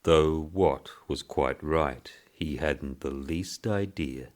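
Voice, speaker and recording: A man narrating a literary short story in a measured, deliberate way. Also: rumbling low. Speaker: story reader.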